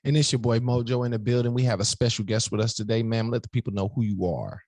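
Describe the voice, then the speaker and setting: A man's voice: medium pitch, fast, darkish tone, no room sound, clean studio sound. A man hosting a casual podcast interview, asking easygoing questions and giving short encouraging replies. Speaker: podcast host.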